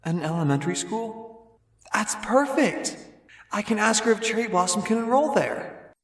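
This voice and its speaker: A man voicing a protective, playful young male pony, a big brother, with expressive theatrical delivery. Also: sits high, moderate pace, reverberant, pitched above the performer's own voice. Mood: hopeful.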